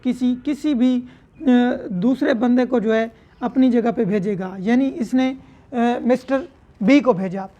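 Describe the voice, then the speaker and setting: A man with a Pakistani accent giving a law lecture, explaining step by step in a didactic manner with English legal terms mixed in. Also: extremely high pitch. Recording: dull, lo-fi lecture audio.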